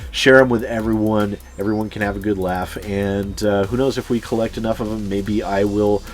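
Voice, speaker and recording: A man's voice: lowish voice, steady tone, normal speed, a little hiss or hum. A man telling personal anecdotes casually as a channel host, swearing freely and chuckling as he goes. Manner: sweary, chuckles through his stories. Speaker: YouTube storyteller and channel host.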